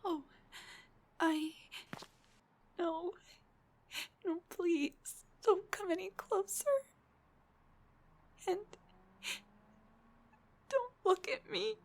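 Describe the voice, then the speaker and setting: Woman doing a flustered young dullahan girl, audio roleplay, slow and hesitant, breathy with some creak, kinda self-deprecating. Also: very high-pitched, full character voice. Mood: crying, panicked. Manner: hesitant, stammering, rambling confession. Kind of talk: intimate audio roleplay acting.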